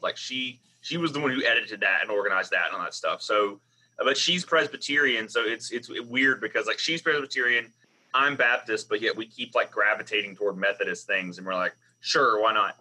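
Bright-voiced Southern man on a call. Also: on the low side, low-quality recording. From Southern United States. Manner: chatty, self-deprecating storyteller with restarts. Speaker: biblical scholar, professor. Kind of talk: online panel discussion. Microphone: video call.

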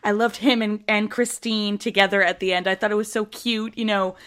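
A woman's voice: mid-range voice, little pitch movement, fairly quick, a bit bright. A woman moderating a casual panel, asking chatty, gushing questions.

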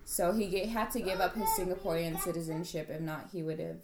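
A young woman from Hong Kong talks through an interview in a relaxed, halting way, stopping and restarting her sentences. Her voice sits a little low. The room around her is noticeably echoey. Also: crowd noise. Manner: hesitant, many restarts and fillers. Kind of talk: casual interview conversation.

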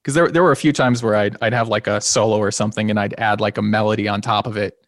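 A man who sings and plays guitar in a band, talking in a slightly low voice with a touch of nasal tone. He rambles easily through his answers, doubling back on words and restarting sentences. The sound is dry and close. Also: fast talker, no room sound. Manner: relaxed and rambling, with many restarts and small laughs. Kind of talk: casual interview conversation.

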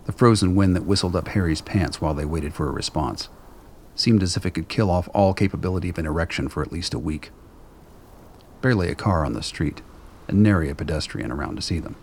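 Very deep, gravelly male narrator. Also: fairly quick, a little mellow, slight background noise. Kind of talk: scripted radio drama narration.

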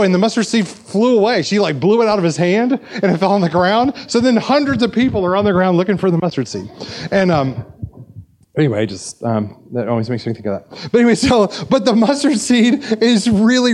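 A man's voice: high-pitched, a little mellow. A man preaching a sermon at a church service, chatty and joking, with frequent fillers and restarts. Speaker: pastor. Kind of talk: sermon with anecdotes.

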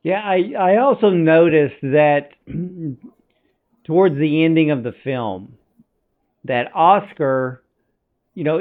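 A man from the United States hosting an interview, asking long rambling questions. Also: fairly high pitch. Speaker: interviewer, host. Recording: tinny phone-like line.

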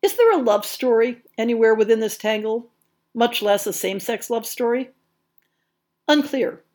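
A woman's voice: fairly high pitch, lively intonation, normal speed, darkish tone. A woman hosting a podcast, reading a scripted history essay in an articulate storytelling way with wry asides. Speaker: podcast host.

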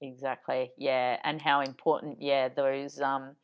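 A woman's voice: a bass voice, level tone, unhurried, smooth, muffled recording. A woman co-hosting a podcast who summarizes an interview for listeners. She speaks thoughtfully and hesitantly, with many ums and restarts. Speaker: podcast co-host.

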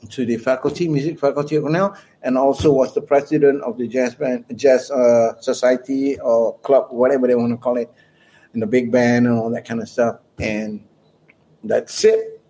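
A man's voice: medium pitch, flat delivery, moderate pace, mellow, low-quality recording. A man, a guest musician rambling through anecdotes in a casual interview chat. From Malaysia. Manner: rambling, anecdotal storyteller with chuckles.

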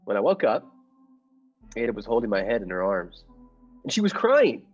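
A man's voice: lowish voice, very animated, moderate pace, breathy edge, muffled recording, soft background music. A man playing a meek, nervous henpecked husband who narrates his scheme in a radio play, hesitant, earnest and formal. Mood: anxious recounting. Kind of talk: improvised-cast classic radio play narration.

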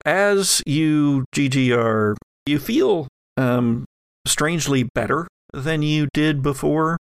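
A man with noticeable fry in the voice narrates a horror tabletop game as its game master. The delivery is improvised and halting, and the sound is close and dry. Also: a little high, no room sound. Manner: halting, thinking aloud as they narrate.

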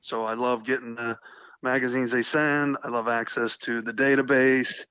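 Male co-host, medium pitch, mildly hoarse, talkative and keen, lo-fi dry podcast sound. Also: little pitch movement, normal speed, pushed and bright, dry recording. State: slightly hoarse. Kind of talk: casual podcast chat. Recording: dull, lo-fi sound.

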